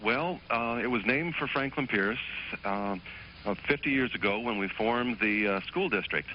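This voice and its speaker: A man, a teacher calling in to a television program, answering politely and haltingly with many fillers. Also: medium pitch, noisy background. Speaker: teacher and call-in guest. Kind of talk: phone interview. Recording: muffled phone line on broadcast.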